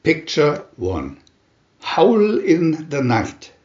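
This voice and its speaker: A man reading a comic adventure booklet aloud as a dramatic narrator, announcing each part with theatrical pauses. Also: fairly high pitch, huge pitch swings, a little mellow, echoey room, highs cut off.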